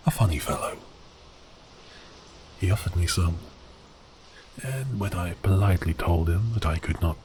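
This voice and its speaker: Very deep, slow giant sea beast. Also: male voice, background noise. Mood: wry storytelling. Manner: grand archaic phrasing, teasing, theatrical. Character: giant male sea beast, cursed prince, wry and lonely. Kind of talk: ASMR audio roleplay.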